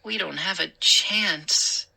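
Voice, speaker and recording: A woman's voice: lowish voice, slow, gravelly, pushed and bright, breathy edge, heavily acted, low-quality recording. A woman voicing an anxious young boy in a children's story. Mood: urgent. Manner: urgent, pleading.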